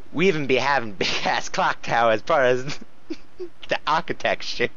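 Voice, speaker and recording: A man's voice: medium pitch, lively intonation, slow pace, sharp tone. A man co-reading fan fiction aloud in a joking style, giggly and often stumbling over the text. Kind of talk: joking read-aloud with casual asides. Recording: muffled, noisy home recording.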